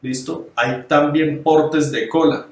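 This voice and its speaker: A man's voice: on the high side, fairly even intonation, far from the mic. A man giving an online dog grooming lesson over slides, explaining step by step, often checking in with his students and restarting words. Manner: halting, repeats and corrects words. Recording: echoey room, voice off-mic.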